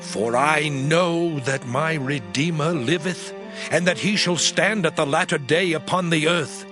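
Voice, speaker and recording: An actor playing a suffering man who pleads with his friends, in a dramatized scripture reading with anguished, slow declamation. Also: a little high, lightly acted. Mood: pleading. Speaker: actor voicing Job. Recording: music bed under voice.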